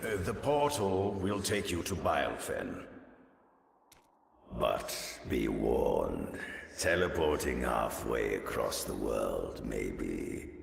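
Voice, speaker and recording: An actor playing a grave, gravel-voiced old mentor in a fantasy game. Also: male voice, a bass voice, sing-song, slow pace, pauses often, exaggerated voice. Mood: grave warning. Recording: long echoing reverb, noisy ambience.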